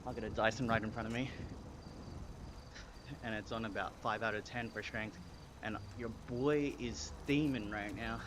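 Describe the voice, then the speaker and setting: A man's voice: on the low side, fairly even intonation, moderate pace, noisy background. Australian man chatting while pedaling. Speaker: streamer. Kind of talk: casual live-stream chat while cycling. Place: home indoor cycling setup.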